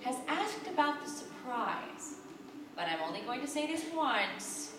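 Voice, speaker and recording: A woman's voice: high voice, big pitch swings, a little slow. Female stage reader, middle register, literary narration; roomy, noisy live capture. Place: theater stage, recorded live. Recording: echoey, distant live theater recording, noisy.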